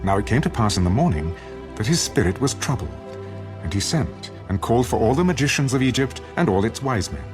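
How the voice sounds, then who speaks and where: A man's voice: medium pitch, big pitch swings, fairly quick. A man narrating scripture as scripted audio drama, with solemn, dramatic storytelling. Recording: studio narration with music underneath.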